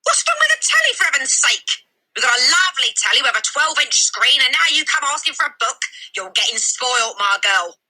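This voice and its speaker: A woman voicing a blustering, irritated father who scolds his young daughter loudly in a storybook reading. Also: high-pitched, big pitch swings, fairly quick, pitched above the performer's own voice, heavily acted, low-quality recording. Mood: indignant, scolding. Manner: loud, indignant, exclaiming. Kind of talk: storybook character voice.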